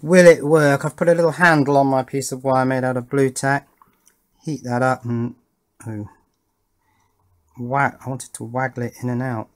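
A man's voice: mid-range voice, steady tone, moderate pace, long pauses. Man casually talking through hands-on work. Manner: thinking aloud while working, with tag questions. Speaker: host of an electronics build channel. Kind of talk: casual hands-on demonstration commentary. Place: indoors at a wooden workbench.

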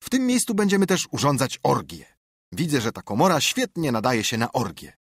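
A man voicing an eager, bossy boy adventurer who talks with raised, breathless enthusiasm and a husky tone. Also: medium pitch, very animated, brisk, no room sound, studio quality, voice pushed up.

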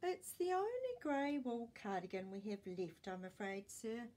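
A woman's voice: a little high, expressive, unhurried, darkish tone. A woman voicing a helpful, courteous saleswoman in a storybook, with polite shop-assistant talk.

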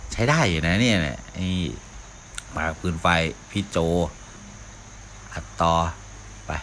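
A man's voice: sits low, takes it slowly, a bit bright, slight background noise. A man giving casual live commentary as a gaming video host, often talking to himself as he plays. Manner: mouths sound effects, talks to himself while playing.

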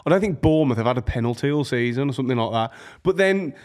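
A man's voice: mid-range voice, animated, fast talker, a little mellow. A young man debating football casually and emphatically on a podcast, speaking close to a studio microphone. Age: young adult. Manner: argumentative, emphatic. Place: small studio, indoors. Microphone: close podcast microphone on table stand.

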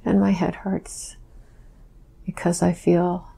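A woman recalling events in a slow, halting, hushed whisper as she answers questions. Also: lowish voice, flat delivery, gravelly, breathy edge. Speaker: session subject answering questions. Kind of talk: slow whispered first-person recollection.

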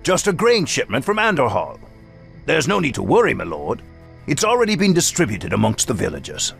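A man's voice: mid-range voice, wildly swooping pitch, a little fast, creaky. An actor playing a dutiful, deferential soldier reporting to his lord in a fantasy video game. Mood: reassuring. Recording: music behind the voice.

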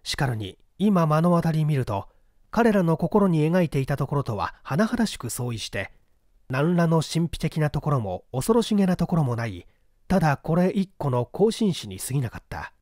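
A man narrates a literary detective story in a formal, measured way. His voice sits a little high and moves through a lively range of pitch. The sound is dry and close. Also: no echo. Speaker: audiobook reader. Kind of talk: scripted literary narration of a period detective novel.

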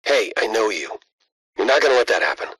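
Husky, sarcastic tough-guy soldier. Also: on the low side, very animated, fairly quick, fairly bright tone, dry recording, full character voice, rough recording. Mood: reassuring. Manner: dry, sarcastic. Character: gruff, sceptical tough-guy male soldier ally. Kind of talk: scripted video game dialogue.

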